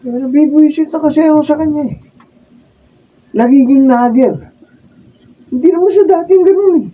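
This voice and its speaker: A man's voice: extremely high pitch, normal speed. A Filipino man playing a lazy, sullen young husband who whines and complains in a radio drama, over a muffled recording. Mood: whiny complaining. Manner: whiny, complaining. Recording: muffled, noisy room, distant mic.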